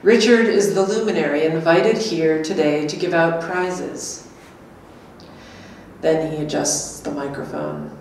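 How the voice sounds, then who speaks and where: Lady reading the part of a blunt teasing woman, slow wry delivery, super echoey room. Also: low voice, lively intonation, darkish tone. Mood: measured reading. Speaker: performer reading a script. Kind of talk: staged reading of a literary dialogue. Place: small gallery room before an audience. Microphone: stand microphone, heard from a distant camera. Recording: echoey room, distant mic, faint noise.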